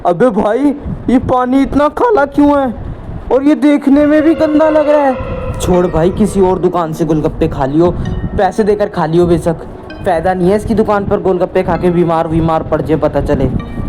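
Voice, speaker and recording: A man's voice: high-pitched, moderate pace, over music, pitched above the performer's own voice, natural voice, low-quality recording. A narrator voicing a teasing, wary young man talking to his friend. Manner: mocking, then worried.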